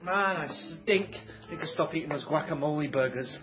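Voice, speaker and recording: A man playing a sarcastic, slobbish young bartender in a radio drama, deadpan and sardonic. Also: on the high side, a little fast, lightly acted. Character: sweaty, sarcastic young male English bartender. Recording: dull sound with music behind. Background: music.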